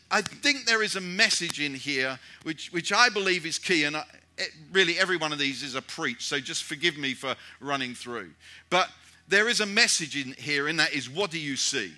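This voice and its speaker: A man's voice: fairly high pitch, lively intonation, normal speed, sharp tone. A man from Britain preaching a sermon in a conversational way, with many restarts and repeated rhetorical questions.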